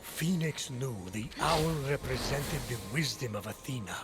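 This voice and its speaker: An actor playing a grave, patient storyteller of ancient myth, narrating a video game in a measured way over music. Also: male voice, mid-range voice, lightly acted. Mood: solemn. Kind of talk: dramatic scripted game narration. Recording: music behind the voice.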